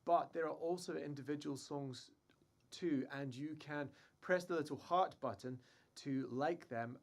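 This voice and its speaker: A man's voice: medium pitch, darkish tone. A man co-hosting a live music show, talking with enthusiasm and often restarting his sentences. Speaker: co-host musician. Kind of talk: casual chat.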